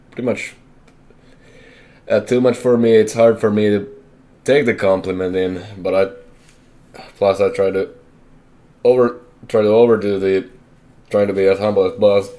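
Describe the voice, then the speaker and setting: Deep-voiced young man, halting and candid. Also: a little mellow. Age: young adult. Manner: halting, hesitant, self-correcting, candid. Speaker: vlogger. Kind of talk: personal life update.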